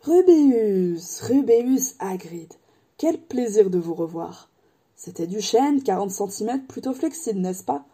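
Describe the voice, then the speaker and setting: A woman's voice: medium pitch, animated, slow, mellow, husky, exaggerated voice. A woman doing an eerie old wand maker. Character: eccentric old male wand maker, intense. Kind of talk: audiobook character voice.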